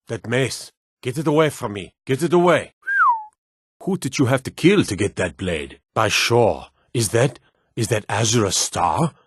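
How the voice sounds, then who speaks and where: Gruff, low-voiced fantasy town guard. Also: male voice, no room sound, clean studio sound. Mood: disgusted. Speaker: video game voice actor. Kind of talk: fantasy game character barks.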